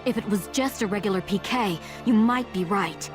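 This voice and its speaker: An actress voicing a serious young female ally who reports news in a measured way. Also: medium pitch, natural voice. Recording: game cutscene with music underneath.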